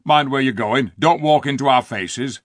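A man voicing a surly, gruff male dwarf who scolds and sneers loudly in a storybook reading. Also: medium pitch, moderate pace, no echo, studio quality, lightly acted.

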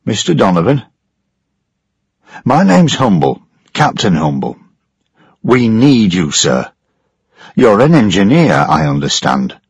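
A man playing a bossy ship's captain in a storybook reading, brisk and dismissive, with a slightly raised voice, a husky edge and a lively swing in pitch, recorded dry and close. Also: no echo, full character voice. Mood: insistent. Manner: pushy, dismissive. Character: blustering male ship captain.

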